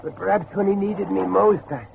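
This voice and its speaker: An actor playing an anguished middle-aged father and judge, intense and halting, in a horror audio drama. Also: high voice, vocal fry. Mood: sorrowful. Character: anguished older father, a judge hunting his lost son. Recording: muffled lo-fi recording with faint noise.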